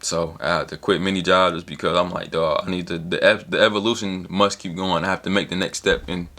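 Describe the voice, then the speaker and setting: A man's voice: low voice, steady tone, fairly quick, bright, forceful tone. A man in his early twenties giving a loose, rambling motivational talk in slang, with casual swearing, as a solo vlogger. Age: 20s.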